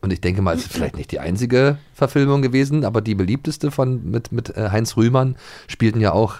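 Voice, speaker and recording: A man's voice: mid-range voice, brisk, darkish tone, dry recording. A man co-hosting a casual chat about audio dramas, informal and often repeating words as he forms his thoughts. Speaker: podcast co-host.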